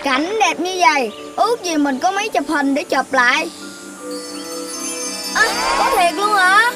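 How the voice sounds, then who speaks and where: A little childlike cartoon animal, excitable and wishful, in an extremely high voice. It sounds set back from the mic, with loud music underneath. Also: female voice, a little slow, recorded from a distance. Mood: excited.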